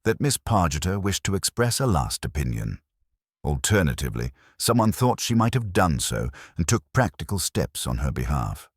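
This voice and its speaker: Guy reading as a deep detective inspector, courteous, dry irony, bit husky, storybook mystery. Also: sing-song, no echo, full character voice. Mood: measured, tactful. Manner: polite, deliberate, drily ironic. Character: dry, courteous, observant male police inspector.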